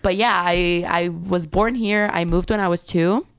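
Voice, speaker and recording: A young woman co-hosting a podcast, chatting casually and telling animated stories with lots of fillers. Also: fairly low pitch, measured pace, smooth. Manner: animated storytelling, many fillers. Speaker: podcast co-host. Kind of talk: casual chat.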